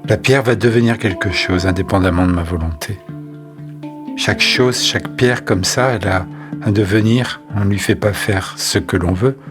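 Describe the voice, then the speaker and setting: A man's voice: on the low side, normal speed, lightly acted. Man playing Amine, an obsessed sculptor near madness. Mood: feverish, entranced. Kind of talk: French fiction podcast acting. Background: music.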